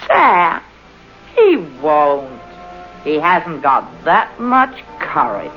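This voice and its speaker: An actress playing a cold-blooded, self-satisfied scheming wife in an old-time radio drama. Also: female voice, low voice, huge pitch swings, very slow, soft background music, heavily acted. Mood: smug, scornful. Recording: dull old radio recording, faint noise.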